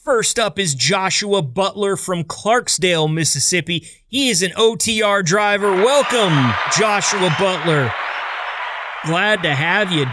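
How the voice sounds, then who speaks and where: Bright-voiced American man hosting a podcast. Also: sits high, lively intonation, unhurried. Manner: upbeat, playful, jokey patter. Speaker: podcast host. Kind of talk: casual, chatty scripted-loose podcast intro.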